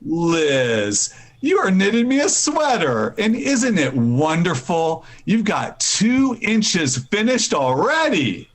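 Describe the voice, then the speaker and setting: A man playing a good-natured, oblivious husband in an old-time radio comedy, boyish and animated. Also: high voice, slow, heavily acted. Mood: delighted. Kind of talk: 1940s radio comedy acting.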